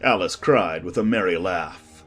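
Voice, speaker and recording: Low-voiced man narrating a storybook. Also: unhurried, a bit bright, slightly rough, soft background music. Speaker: audiobook narrator. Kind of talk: scripted storybook narration and verse reading.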